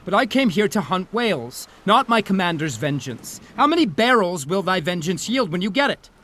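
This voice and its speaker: An actor playing a principled, defiant first mate on a whaling ship, earnest and formal, in a theatrical audio drama. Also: male voice, high-pitched, big pitch swings, normal speed, fairly bright tone, slight background noise. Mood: defiant, reproachful.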